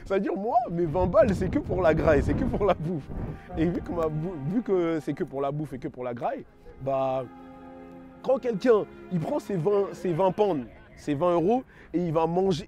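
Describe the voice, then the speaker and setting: A man's voice: sits high, warm tone. Young man, rambling casual testimony. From France, raised in London. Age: 20s. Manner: slangy, rambling, many fillers and self-corrections. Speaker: testimony giver.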